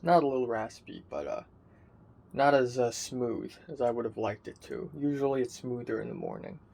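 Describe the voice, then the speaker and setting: A young man rambling through a casual video diary with many ums and restarts, his voice slightly raspy. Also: medium pitch, expressive, moderate pace, darkish tone. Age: young adult. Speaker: vlogger.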